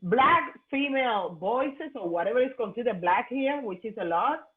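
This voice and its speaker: A woman's voice: a little high, expressive, moderate pace. A woman from Cuba with a Cuban Spanish accent, a native Spanish speaker and podcast host, talking in emphatic, slightly broken English over a remote call. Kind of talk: casual conversation. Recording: tinny phone-like remote call audio.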